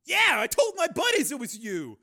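A man's voice: very high-pitched, wildly swooping pitch, moderate pace, bright, forceful tone, pitched above the performer's own voice, heavily acted. A man voicing a pushy, overfriendly bar patron with a brash, wheedling manner.

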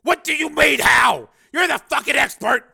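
A man voicing an enraged, bullying army major who shouts accusingly in an audiobook thriller. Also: very high-pitched, lively intonation, normal speed, creaky, pitched above the performer's own voice, full character voice.

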